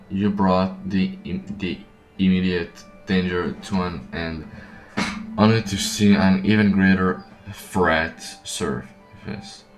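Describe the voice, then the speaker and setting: Low-voiced man reading slowly and haltingly. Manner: stumbling, repeating words as he reads. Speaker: game streamer. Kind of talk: halting read-aloud of game story text. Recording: echoey room, distant mic, game music.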